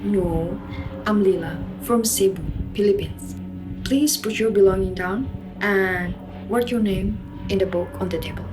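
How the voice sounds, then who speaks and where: A woman's voice: mid-range voice, unhurried, over music, close to an everyday voice, rough recording. A woman playing a young Filipino dormitory assistant in a radio drama, polite, hesitant and giving instructions. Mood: matter-of-fact.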